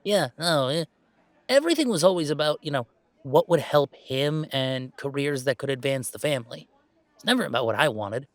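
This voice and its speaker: A man playing a laid-back, self-deprecating young gamer in a roleplay audio drama, chatting in a halting, playfully exasperated way. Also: fairly high pitch, lively intonation, dry recording, close to an everyday voice. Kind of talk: roleplay audio drama, casual one-sided conversation.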